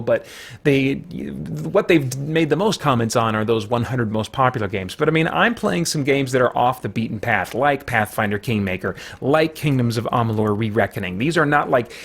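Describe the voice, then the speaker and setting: A middle-aged man with a mid-pitched voice talks in the manner of a video game vlogger sharing opinions. His delivery is conversational and measured. He is careful with his claims and qualifies them as he goes. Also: creaky. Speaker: gaming vlog host.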